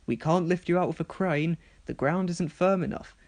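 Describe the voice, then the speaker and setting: A stern, commanding railway controller, played by a man in a storybook tale. He sounds measured and authoritative, a gentleman used to being obeyed. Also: on the high side, voice pushed up, lightly acted. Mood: stern, pondering.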